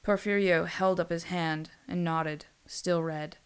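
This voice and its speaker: A woman's voice: on the low side, fairly even intonation, slow pace, smooth. A woman storyteller reading scripted fantasy narration in an audiobook manner.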